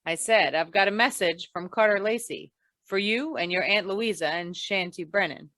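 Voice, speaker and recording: A woman playing a deadpan, hard-boiled female investigator in an old-time radio crime noir, with dry, world-weary delivery. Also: mid-range voice, moderate pace, no room sound, lightly acted. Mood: cool, matter-of-fact. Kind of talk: 1940s radio noir narration and dialogue.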